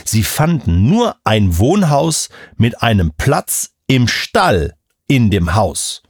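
A man's voice: medium pitch, big pitch swings, slow, husky, dry recording, clean studio sound. A man explaining things simply to a child as a show's expert, conversational and often checking understanding with questions. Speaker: Bible expert on a children's show.

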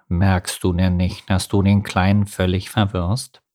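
A man's voice: sits low, level tone, a little slow, dark tone. A man voicing a grumpy old male carp with a cold in a storybook reading, sighing and patronizing. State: sniffly cold.

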